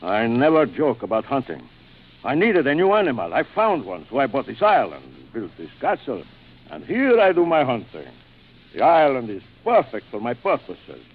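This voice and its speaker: Suave, cruel general, swooping old-radio delivery. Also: male voice, mid-range voice, huge pitch swings. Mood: proud, sly. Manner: urbane, theatrical, savoring pauses. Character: suave, cruel aristocratic older general who hunts men. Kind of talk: 1940s radio thriller acting. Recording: muffled, noisy vintage radio recording.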